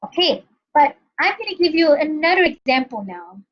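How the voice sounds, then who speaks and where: A woman's voice: high-pitched, sing-song, normal speed, lo-fi sound. A woman from Malaysia with a Malaysian English accent, teaching English grammar in a recorded lesson. She explains step by step and often checks in with the class by asking "okay?". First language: not English. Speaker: university English lecturer.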